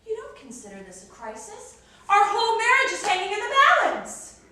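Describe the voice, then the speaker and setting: A woman's voice: fairly high pitch, big pitch swings, normal speed, a bit bright. A woman playing an emotional, melodramatic young newlywed wife in a theatrical stage comedy. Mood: indignant. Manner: melodramatic, emphatic, volatile. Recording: distant, echoey, very noisy stage recording.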